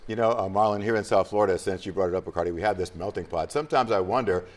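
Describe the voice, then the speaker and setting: A man's voice: low-pitched, rapid-fire. A man moderating a TV panel discussion, asking probing questions with some fillers.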